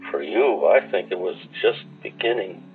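An actor in a radio play playing a weary, haunted war veteran who speaks in a reflective, confessional way. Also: male voice, sits low, expressive, moderate pace, narrow, tinny audio. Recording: thin radio-like sound with music.

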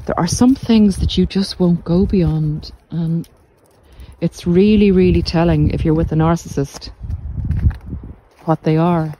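A middle-aged woman giving reflective, informal podcast advice in a measured, deliberate way with pauses. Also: fairly low pitch, warm tone, slight background noise, poor audio. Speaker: podcast host and narcissistic abuse recovery coach. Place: walk outdoors along the coast.

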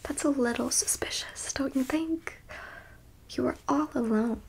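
A woman playing a coolly clinical, smug and curious alien abductor in an ASMR roleplay, in a soft breathy whisper with a coyly condescending manner. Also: high-pitched, a little slow, slight background noise, rough recording. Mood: curious, probing.

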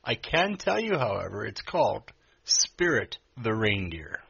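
A man's voice: fairly low pitch, sing-song, a little slow, creaky, a bit bright, slightly rough, lo-fi sound. A man hosting a story podcast, giving scripted introductions. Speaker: host.

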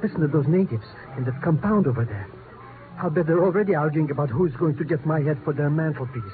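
High-pitched cowardly sidekick played by a man. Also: fast, natural voice. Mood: jittery. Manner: nervous, whining, fractured grammar. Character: cowardly, greedy, comic foreign male sidekick. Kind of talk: 1950s radio comedy-drama acting. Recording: noisy, muffled 1950s radio recording.

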